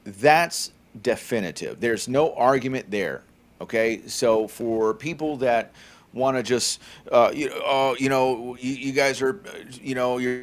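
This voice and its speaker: Man in his forties, filler-heavy podcast talk. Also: medium pitch. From California, USA. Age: 40s. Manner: conversational, many fillers and tag "okay?". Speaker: podcast co-host. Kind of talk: faith-centered podcast commentary.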